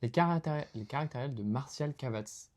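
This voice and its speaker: A man's voice: medium pitch, a little fast, a little mellow. A young man, a guest, chatting casually about books in a dry, teasing way.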